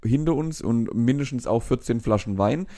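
A man co-hosting a casual chat, relaxed and loose, leading the conversation with light swearing. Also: medium pitch, moderate pace.

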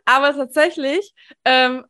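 A woman's voice: high-pitched, fairly even intonation, a little slow, bright, forceful tone. A woman in her thirties hosting a conversational podcast interview in an informal, enthusiastic way. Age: 30s. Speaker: podcast host.

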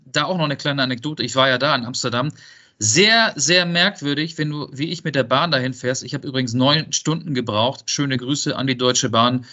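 A man co-hosting a casual football podcast and acting as quizmaster in relaxed conversation. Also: mid-range voice, muffled recording.

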